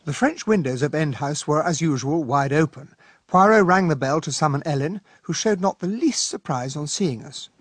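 An actor playing an English gentleman who narrates a detective radio drama in a proper, measured British storytelling voice. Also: fairly high pitch, expressive, husky, no echo. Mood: narrating.

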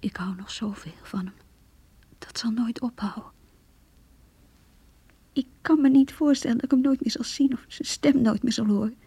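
An actress playing a heartbroken young woman who confides her lost love in an intimate, halting radio play monologue, breathy and hushed. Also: a little high, dry recording. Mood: wistful.

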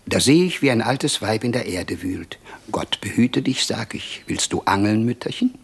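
A chatty older fella in an old radio play, low raspy voice, lamenting and gossiping. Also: male voice, animated, normal speed. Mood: gossipy amusement. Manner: chatty, exclamatory, rambling storytelling. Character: garrulous older Russian prosector, wryly lamenting, gossipy. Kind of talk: 1950s German radio play acting.

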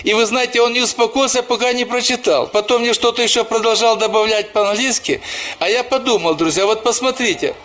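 A man's voice: extremely high pitch, a little fast, a bit bright. A man who is a church pastor, preaching a sermon and telling personal stories, often calling the listeners brothers and sisters.

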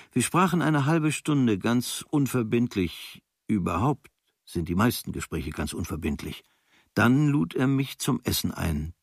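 A man with a low, creaky voice narrates a literary travel diary for radio. His delivery is measured, set out in short, clipped phrases, and the sound is close and dry, as in a studio. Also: no room sound.

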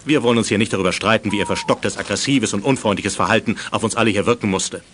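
A man's voice: fairly low pitch, quick pace, fairly bright tone, slight background noise, natural voice. A man playing a stern but fair middle-aged director of a youth village in a children's radio drama, speaking formally and with authority. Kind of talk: 1980s German children's radio drama acting.

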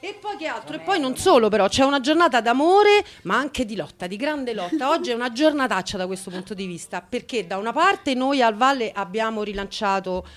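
A woman's voice: fairly high pitch, lively intonation, a little fast, few pauses. A woman hosting a radio show, talking in an informal, chatty style. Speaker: radio host.

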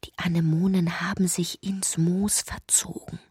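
A woman narrating a literary audio drama in a breathy voice that often drops toward a whisper, reciting deliberately and poetically. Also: fairly low pitch, flat delivery, a little mellow, dry recording, clean studio sound.

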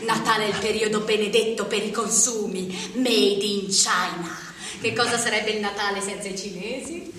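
An actress playing a bossy, cynical female warehouse manager in a stage play, brisk and commanding. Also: medium pitch, fairly even intonation, a crowd in the background, natural voice. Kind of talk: stage play recorded for radio. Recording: very echoey stage recording.

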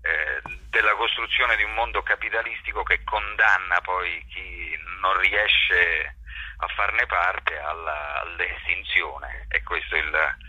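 A man giving explanatory interview answers in a halting, thoughtful way, searching for his phrasing as he goes. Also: low voice, sharp tone, husky, no echo, highs cut off. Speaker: actor and workshop teacher being interviewed.

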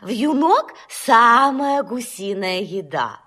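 High-voiced motherly goose. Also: big pitch swings, pushed and bright, exaggerated voice. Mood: doting. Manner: honking, doting, drawn-out exclamations. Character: motherly, naive female goose. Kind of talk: children's audio play.

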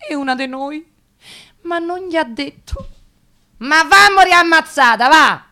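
Bright, animated young Roman girl. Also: sits high, measured pace, exaggerated voice. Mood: lively, amused. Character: chatty, giggly young working-class Roman woman, injured accident survivor. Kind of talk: theatrical radio drama monologue as interview.

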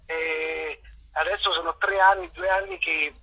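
A man from Naples, an actor, talking on the phone in a thoughtful way and often pausing to search for words. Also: on the high side, fairly even intonation, pushed and bright, husky. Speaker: actor guest. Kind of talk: phone interview. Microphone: telephone. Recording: muffled phone line.

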